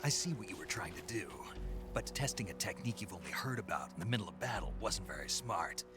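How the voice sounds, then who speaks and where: A very low, gruff American special forces agent speaks tersely and calmly. The voice is gravelly and matter-of-fact, like a battle-hardened game hero, with cinematic music clearly behind it. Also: male voice, fast. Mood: cool, instructive. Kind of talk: dramatic video game voice acting. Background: game cutscene music.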